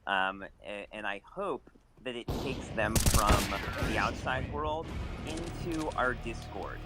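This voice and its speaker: A man in a live audio chat, speaking in a measured way about his community. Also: medium pitch, over loud music. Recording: loud gunfire under the voice.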